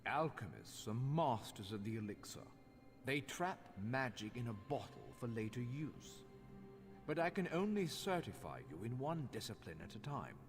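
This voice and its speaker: An actor voicing a haughty, scholarly master craftsman in a fantasy video game, speaking in a formal, measured, instructional way. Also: mid-range voice, big pitch swings. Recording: long hall reverb, faint music behind.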